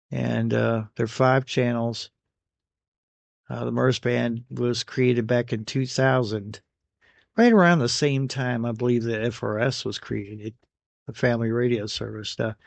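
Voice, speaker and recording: An American man recording an unscripted product review voice-over, speaking in a halting way with pauses between phrases. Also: medium pitch, creaky, a little mellow, no room sound. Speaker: radio gear reviewer.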